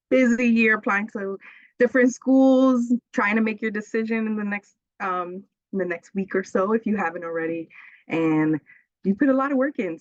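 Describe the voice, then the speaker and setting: A woman's voice: mid-range voice, dry recording. A woman, an associate director giving an upbeat, friendly webinar presentation over a video call, with frequent "um"s. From Bronx, New York. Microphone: laptop mic on video call. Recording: dull, dry video call audio.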